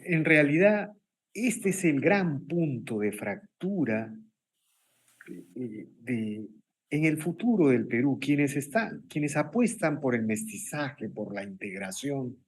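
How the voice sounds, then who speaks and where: A man's voice: mid-range voice, expressive, slow. A man with a Peruvian Spanish accent hosting an opinion interview, speaking emphatically with rhetorical questions and repetition. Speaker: interview program host.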